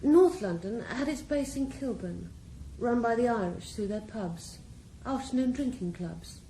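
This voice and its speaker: A woman's voice: fairly high pitch, animated, measured pace, dark tone. An actress in a radio drama playing a ruthless female drug boss, cool, composed and mocking. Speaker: criminal mastermind. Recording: roomy echo, faint background hiss.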